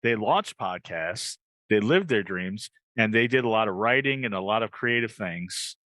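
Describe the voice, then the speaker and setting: A man's voice: on the low side, animated, moderate pace, fairly bright tone, dry recording. A man hosting a casual podcast on a video call, rambling a little and repeating his phrases as he chats and reads plugs. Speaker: podcast host.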